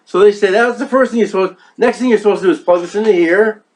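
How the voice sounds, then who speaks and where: A man with a notably high voice walks through a product demonstration in a casual, instructional way. He repeats himself for emphasis and keeps checking that the listener follows with little tag questions. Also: fast talker, darkish tone. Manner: repetitive, emphatic, checks in with "okay?" and "right?". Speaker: product reviewer.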